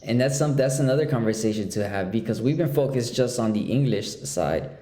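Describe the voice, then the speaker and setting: A young man hosting a casual interview, talking in halting phrases with many restarts. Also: mid-range voice, lively intonation, mellow, echoey room. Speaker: podcast host.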